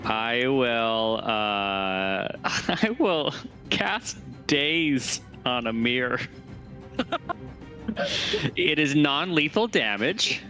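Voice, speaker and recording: A man's voice: medium pitch, big pitch swings, very slow, pauses often, pushed and bright. A man playing a tabletop roleplaying game, talking casually about his moves and the rules. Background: music.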